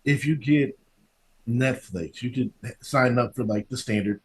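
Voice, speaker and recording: A man's voice: mid-range voice, a little slow, husky. A man hosting a podcast in casual conversation, emphatic and given to repeating his phrases, with some background noise behind him. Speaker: podcast host. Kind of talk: casual interview chat.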